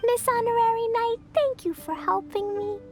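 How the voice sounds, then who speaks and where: A woman voicing an earnest, brave and innocent little girl adventurer in an animated video game. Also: very high voice, normal speed. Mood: determined. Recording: faint music behind.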